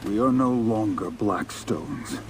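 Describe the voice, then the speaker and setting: A man's voice: on the low side, slow, noisy background. An actor playing a defiant male knight who has turned from his old allegiance, in a video game cutscene. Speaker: knight warden. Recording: game cutscene over clear music.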